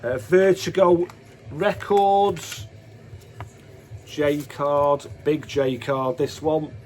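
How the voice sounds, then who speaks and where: A man's voice: fairly high pitch, fairly even intonation, extremely slow, darkish tone. A man giving casual, unscripted show-and-tell commentary as a host, in a hesitant, matter-of-fact way, often correcting himself. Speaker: host of a music collection video.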